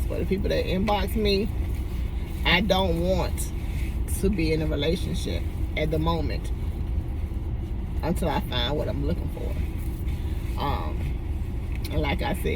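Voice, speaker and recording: A woman's voice: sits low, distant mic, background noise. A woman of fifty speaking bluntly and emphatically to her followers, repeating her points firmly and chuckling now and then. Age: 50s. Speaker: vlogger. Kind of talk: casual monologue to followers.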